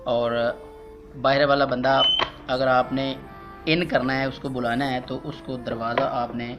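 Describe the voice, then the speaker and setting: A man's voice: mid-range voice, little pitch movement, measured pace. Male tutorial voice over prominent music. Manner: repetitive, instructive, addressing viewers as friends. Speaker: electrician giving a tutorial. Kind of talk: casual step-by-step how-to demonstration. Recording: loud music behind the voice.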